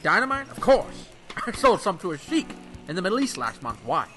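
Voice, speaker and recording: Old time radio male actor as smooth-talking smuggler pilot: breezy, boastful, music underneath. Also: high-pitched, very animated, moderate pace, sharp tone, heavily acted. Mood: slick, jovial. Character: flirty, boastful smooth-talking bootlegger pilot, adult man. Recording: music bed behind the dialogue.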